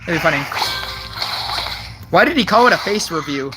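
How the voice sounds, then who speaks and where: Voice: medium pitch, expressive, normal speed, over loud music, low-quality recording. A game streamer chatting casually over mobile gameplay, giggly and rambling with lots of fillers. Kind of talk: casual gameplay commentary with chat.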